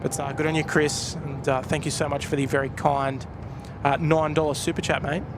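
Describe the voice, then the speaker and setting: A man's voice: fairly high pitch, little pitch movement, fairly quick. A man with an Australian accent hosting a live stream, chatting casually outdoors while thanking viewers. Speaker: live stream host and plane spotter. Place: outdoors at an airport viewpoint. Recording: outdoor noise with traffic and aircraft.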